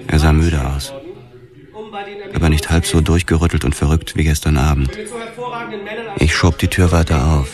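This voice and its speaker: An actor playing a hardboiled detective who narrates his own story in a radio drama, sober, measured and understated. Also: rumbling low, fairly even intonation, over music. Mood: tense, sober. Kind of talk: scripted first-person radio drama narration.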